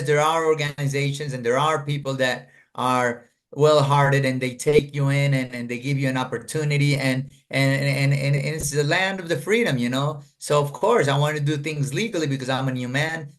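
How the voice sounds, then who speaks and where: A man's voice: medium pitch, normal speed, hardly any pauses, fairly bright tone. A middle-aged man from Colombia, a guest telling his life story in an earnest, rambling way, full of fillers and restarts, over a video call.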